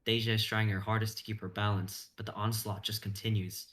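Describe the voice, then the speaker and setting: Young guy w a lowish voice reading out a story, intense and suspenseful. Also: flat delivery, brisk, a bit bright. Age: young adult. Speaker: stream host and narrator. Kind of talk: dramatic reading of narration.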